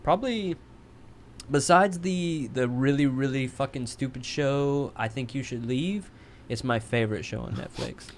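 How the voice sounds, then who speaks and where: A man's voice: medium pitch, measured pace, slight background noise. A man in a casual podcast chat, rambling and swearing heavily as he talks. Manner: rambling, heavy swearing, animated.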